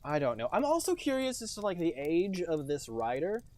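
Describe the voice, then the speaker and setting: Sarcastic higher-voiced male podcast host. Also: moderate pace. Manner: joking, animated, sarcastic. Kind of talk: casual comedic chat.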